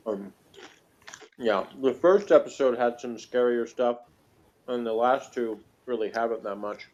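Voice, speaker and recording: A man in a casual, unscripted review chat, talking in a halting, jokey way as a co-host. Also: medium pitch, a little mellow. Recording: muffled lo-fi audio, noticeable noise.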